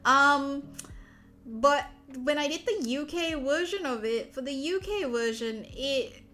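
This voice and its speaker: Malaysian woman streamer, a touch high, loose rambling chat full of fillers. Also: slow, sharp tone. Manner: rambling, many fillers and restarts. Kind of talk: casual chat.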